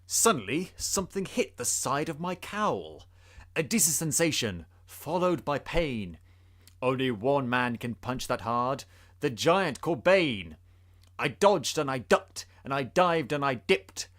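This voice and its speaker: Male narrator for rhyming tale: theatrical, suspenseful, forceful, lively range. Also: mid-range voice, a little slow. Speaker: podcast host. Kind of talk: dramatic storybook reading of rhyming verse.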